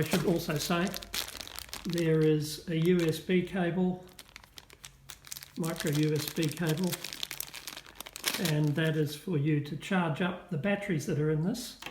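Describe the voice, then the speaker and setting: A man's voice: on the high side, darkish tone. A man giving a casual, methodical product demonstration in plain words. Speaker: product reviewer. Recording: echoey with clear background noise.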